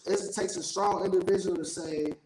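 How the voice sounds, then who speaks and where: Male, American, notably high voice, rambling and emphatic interviewee in casual chat, roomy echo. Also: fairly even intonation, brisk, a little mellow. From Youngstown, Ohio, United States. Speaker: interview guest. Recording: roomy, echoey sound.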